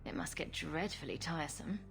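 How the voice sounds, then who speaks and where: An actress playing a blunt, commanding heroine in a video game, with curt, stern delivery. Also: female voice, deep voice, expressive, fairly quick, vocal fry. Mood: stern, impatient. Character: stern, blunt female hero investigating missing recruits. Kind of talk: dramatic video game dialogue. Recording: music behind the voice.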